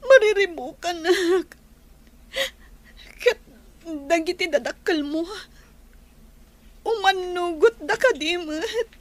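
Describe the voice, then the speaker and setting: An anxious young woman in an Ilocano radio drama, with a very high voice. She pleads tearfully, fretting over a secret love. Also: animated, slow pace, long pauses, exaggerated voice. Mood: worried, pleading.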